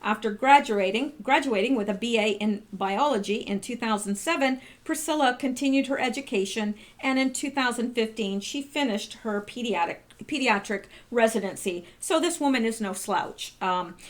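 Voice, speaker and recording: A woman hosting her channel, reading out posts and commenting in a casual, halting way full of rhetorical questions. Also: mid-range voice. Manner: conversational, many fillers and restarts, rhetorical questions. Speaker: channel host.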